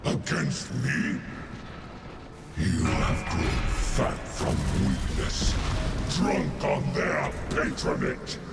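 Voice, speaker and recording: Gravelly, scornful alien warlord ghost. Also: male voice, medium pitch, big pitch swings, exaggerated voice. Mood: scornful, goading. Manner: contemptuous, declamatory, deliberate. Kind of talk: dramatic game villain acting. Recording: echoey, gravelly voice under loud game music.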